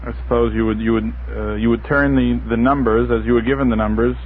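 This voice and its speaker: A man's voice: mid-range voice, fairly even intonation, fast talker. A man chatting on a radio panel, searching for his words in a hesitant way. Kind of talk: casual radio panel chat. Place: radio studio. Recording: tinny, noisy old radio recording.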